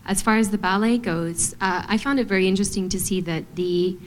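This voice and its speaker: A young adult woman from Montana, a ballet artist answering on a panel in a thoughtful, measured way with frequent pauses and fillers. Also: mid-range voice, a little hiss or hum, poor audio. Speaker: guest artist, ballet dancer.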